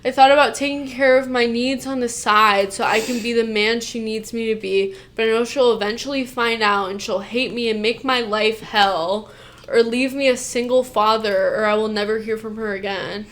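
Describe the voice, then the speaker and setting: A woman's voice: fairly high pitch, fairly even intonation, moderate pace, a bit bright. A woman reading a post aloud and breaking off into blunt reactions that swear casually, sometimes stumbling and restarting mid-sentence. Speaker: co-host reacting to a Reddit post.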